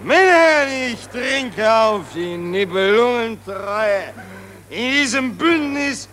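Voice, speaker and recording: High, slow, pompous Austrian general. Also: male voice, lively intonation, bright, forceful tone, slight background noise, heavily acted. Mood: bombastic, proud. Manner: stammering, bombastic, repeats himself. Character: pompous, tipsy, sentimental Austrian army general. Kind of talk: satirical radio drama acting.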